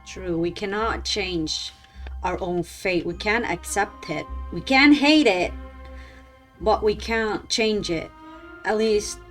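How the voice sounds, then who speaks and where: A woman's voice: medium pitch, slow pace, faint music behind. A woman streaming a video game, giving casual commentary in an earnest, opinionated way.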